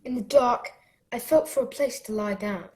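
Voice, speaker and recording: Woman playing a high-voiced plucky adventurer boy. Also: normal speed, a little mellow, natural voice. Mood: earnest storytelling. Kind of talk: radio play narration and acting.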